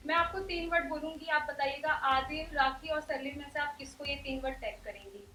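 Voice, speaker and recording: Very high, bright Indian woman reporter, roomy sound. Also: brisk, far from the mic, a little hiss or hum. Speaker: entertainment reporter. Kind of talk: interview questions. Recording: roomy, off-mic, faint music behind.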